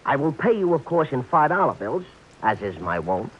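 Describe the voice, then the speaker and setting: An actor playing a small-time racetrack bookie who talks in florid, overly formal phrases mixed with track slang, in a 1940s radio play. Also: male voice, mid-range voice, sing-song, normal speed, slight background noise, natural voice. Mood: pompous. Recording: muffled vintage radio broadcast.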